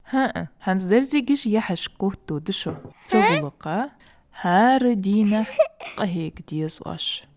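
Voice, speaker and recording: A woman's voice: medium pitch, lively intonation, measured pace, a little mellow, a little hiss or hum. A woman voicing a patient grown woman who explains things in a dubbed family cartoon. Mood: amused. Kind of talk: cartoon dubbing.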